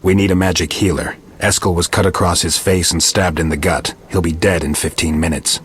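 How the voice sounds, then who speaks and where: An actor playing a calm, reasonable monster hunter in a video game, with terse, restrained delivery. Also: male voice, low voice, brisk, a little hiss or hum, close to an everyday voice. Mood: grave, firm.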